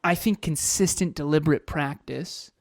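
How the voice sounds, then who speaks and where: Energetic man firing rhetorical questions. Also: on the high side, normal speed, vocal fry, dry recording, clean studio sound. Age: 30s. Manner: energetic, fires off rhetorical questions and repeats phrases. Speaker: podcast co-host. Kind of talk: motivational podcast talk.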